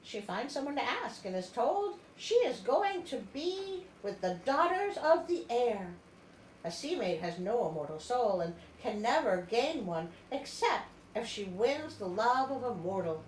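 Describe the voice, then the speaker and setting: Woman storyteller, comedic and expressive, high voice, roomy recording with wry commentary between passages. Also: unhurried. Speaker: storyteller, show host. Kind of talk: comedic storybook reading with asides. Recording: roomy echo, faint background noise.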